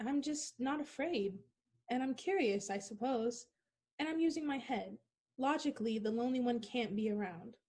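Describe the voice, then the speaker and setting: A woman playing a headstrong, unafraid young woman, brisk and self-assured, in an old-time radio play. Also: a little high, animated, a little mellow. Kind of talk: 1940s radio play acting.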